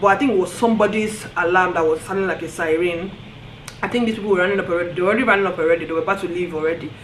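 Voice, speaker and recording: A woman's voice: on the low side, racing, slight background noise. Laughing Nigerian woman telling a story. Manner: animated storytelling, often laughing. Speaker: vlogger. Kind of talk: storytime vlog. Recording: roomy echo, faint ambience.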